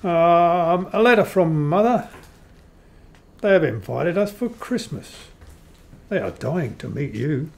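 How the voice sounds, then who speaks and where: An actor playing a courteous, matter-of-fact vampire count and husband in a radio play. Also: male voice, on the high side, animated, vocal fry, darkish tone, heavily acted. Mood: pleased. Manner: measured, courteous, explanatory. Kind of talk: old-time radio play acting.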